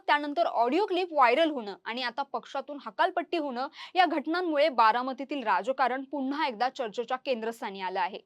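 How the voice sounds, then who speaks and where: High-pitched woman reading news. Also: no room sound, clean studio sound. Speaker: news presenter. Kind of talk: scripted news narration.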